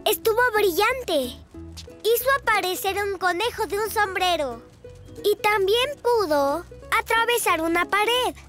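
A performer voicing a curious, excitable five-year-old zebra boy in a children's cartoon, earnest and eager. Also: extremely high pitch, unhurried, faint music behind, exaggerated voice. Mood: eager, proud.

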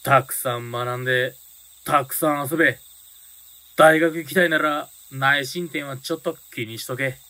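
A man's voice: mid-range voice, unhurried, bright, forceful tone, no echo. A man playing a hot-blooded, big-brotherly teenage delinquent leader in a radio drama. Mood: solemn farewell.

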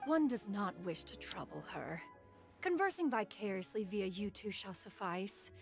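A woman's voice: mid-range voice, expressive, moderate pace, gravelly, soft background music. A woman voicing a proud, aloof immortal mentor in an anime game, stately and archaically formal. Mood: aloof, instructing. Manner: stately, haughty, calls herself "one". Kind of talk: anime video game acting.

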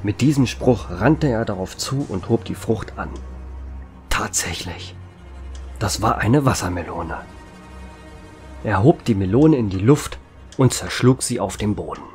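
A man's voice: on the low side, expressive, unhurried, long pauses, a little mellow. A man reading dramatic scripted narration of a horror audio story. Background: background music.

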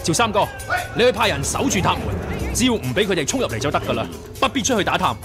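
An actor playing a courteous, earnest young leader of martial arts heroes in a radio drama, with a Hong Kong Cantonese accent. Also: male voice, sits high, sing-song, fast talker. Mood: urgent. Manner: polite, earnest. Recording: radio drama with music behind.

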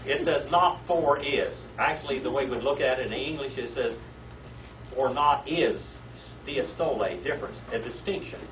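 A man's voice: medium pitch, normal speed. An older man teaching a Bible class from a podium in a wood-panelled classroom, drilling Greek words aloud and often checking in with "all right?". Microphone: podium mic from far camera. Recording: roomy, distant, dull, noisy classroom video.

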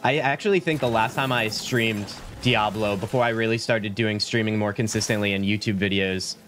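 A man's voice: fairly low pitch, fairly even intonation, moderate pace, fairly bright tone, slight background noise. Laid-back male streamer, sick-day voice. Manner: laid-back, rambling, says "like" and "dude" a lot. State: sick, voice slightly deeper. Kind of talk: casual game stream chat. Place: small home room. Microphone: studio mic at desk, webcam framing. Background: game music.

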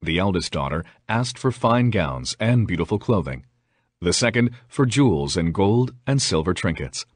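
A man's voice: sits low, very animated, normal speed, no room sound. A man reading a fairy tale as scripted narration, in the role of a storyteller.

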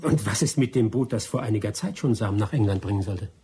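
Lively, blunt adventurer. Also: male voice, fairly low pitch, fast, slightly rough, exaggerated voice. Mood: wary, incredulous. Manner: blunt, resolute. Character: proud, determined male adventurer, British rubber-tree expert. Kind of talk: German radio drama acting.

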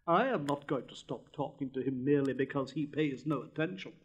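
A man's voice: medium pitch, fairly quick, breathy edge, close to an everyday voice. A man voicing a vain, pompous male firework rocket in a storybook reading, drawing out his words with haughty self-importance. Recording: dull lo-fi recording.

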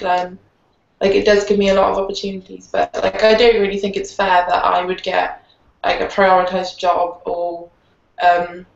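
A woman's voice: mid-range voice, vocal fry. A young woman talking unsurely with constant "like" fillers in a casual panel discussion over a video call. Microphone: laptop webcam video call. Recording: roomy, muffled video call audio.

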